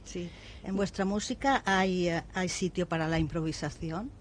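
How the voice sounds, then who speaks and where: A woman interviews composers. She asks brief, measured questions. Faint noise and a low rumble sit behind her voice.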